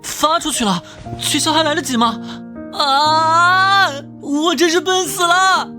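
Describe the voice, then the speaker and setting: An actor playing a warm-hearted, easily flustered young male detective in an animated drama, fretting and scolding himself. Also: very high-pitched, slow, faint music behind, full character voice. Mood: panicked. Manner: expressive, fretful, self-scolding. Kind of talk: animated drama dialogue and inner monologue.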